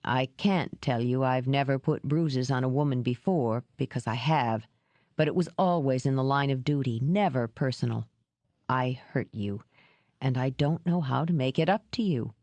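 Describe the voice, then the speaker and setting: A gruff, guarded male ex-cop, voiced by a woman narrator, pitched well below her own voice and speaking slowly with quiet weight. The recording is close and dry, with no room sound. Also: dry recording. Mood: remorseful. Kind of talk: audiobook character reading.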